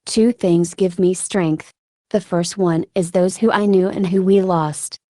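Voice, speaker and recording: A woman giving reflective interview answers in a halting, thoughtful way with frequent filler words. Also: fairly low pitch, fairly even intonation, dark tone, dry recording, clean studio sound. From Ukraine. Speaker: military combat medic being interviewed.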